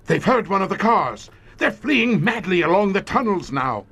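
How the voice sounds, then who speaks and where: A grim, battle-worn older commander from a horror radio play. His voice is sharp and forceful, and he delivers ornate sentences with weary, deliberate weight. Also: sits high, very animated, slightly rough, heavily acted. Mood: gloating, frenzied. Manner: eloquent, florid, deliberate speech. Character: grim, weary veteran commander of secret tunnel monster-hunting police. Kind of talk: dramatic audio horror acting.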